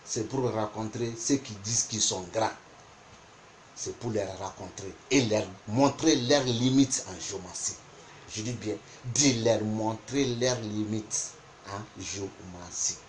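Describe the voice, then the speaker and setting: A man whose first language is not French, teaching a spoken lesson on interpretation, deliberate and repeating points for emphasis. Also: lowish voice, a little hiss or hum. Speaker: geomancy teacher.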